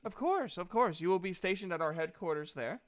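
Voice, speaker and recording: A man's voice: high voice, expressive, a little fast, voice pushed up. A man voicing an orderly woman guild leader who gives assignments in a formal, authoritative way, as in a tabletop game. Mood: businesslike.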